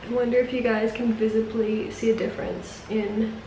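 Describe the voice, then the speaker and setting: A woman in her twenties with an Australian accent and a slightly raspy voice, chatting casually to camera as a travel vlogger. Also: fairly high pitch, level tone, normal speed, mellow, a little hiss or hum, low-quality recording. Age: 20s. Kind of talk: casual vlog chat to camera. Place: holiday apartment balcony, kitchen and seaside terrace.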